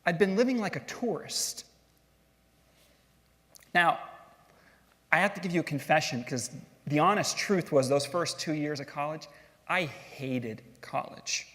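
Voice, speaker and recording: A middle-aged man telling his story from a stage in a relaxed, self-deprecating tone, now and then putting questions to the audience. His voice sits fairly high. Long reverb from a large hall trails each phrase. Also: unhurried, pauses often. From grew up in Switzerland and California. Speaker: speaker giving a talk.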